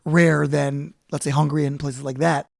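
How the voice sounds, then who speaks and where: A man's voice: on the high side, level tone, normal speed, creaky, a little mellow, dry recording, studio quality. A male podcast host interviewing a guest, friendly and curious, reacting with "wow" and "incredible". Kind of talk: interview hosting.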